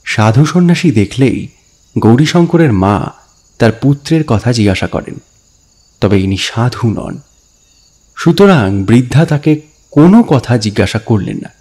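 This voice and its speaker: A man narrating a scripted story dramatically, in a deliberate, expressive storytelling manner. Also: medium pitch, slightly rough. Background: background music.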